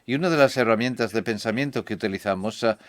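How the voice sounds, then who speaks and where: A man giving a measured, reflective conference talk to a hall audience. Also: on the low side. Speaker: conference speaker.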